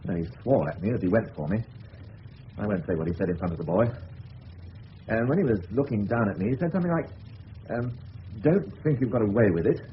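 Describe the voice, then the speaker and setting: An actor playing a shaken, indignant middle-aged suburban father, halting and emphatic, with an English accent in a radio drama. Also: on the low side, lively intonation, close to an everyday voice. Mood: grave, recalling. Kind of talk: 1970s radio drama acting. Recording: dull hissy old radio recording.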